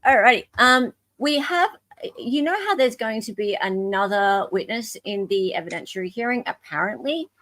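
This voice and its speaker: A woman's voice: a little high, lively intonation, normal speed, a bit bright, no room sound. Casual Australian woman hosting, dry close sound. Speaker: livestream host. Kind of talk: casual live group chat.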